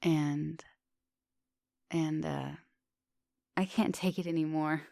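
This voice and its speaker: Casting: woman to play a female vampire professor for ASMR roleplay; gentle, hungry seductress, intimate whispers and murmurs, fry-laden voice, very dry close recording. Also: low voice, steady tone, slow, no echo, full character voice. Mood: craving, restless. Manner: breathy murmurs and whispers, coaxing pet names. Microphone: close microphone.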